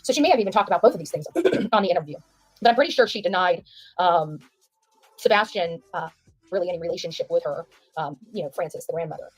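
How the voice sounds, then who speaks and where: A woman's voice: lowish voice, animated, fast talker, slightly rough, dry recording, soft background music, low-quality recording. A woman giving informal livestream commentary, emphatic and repeating phrases for stress.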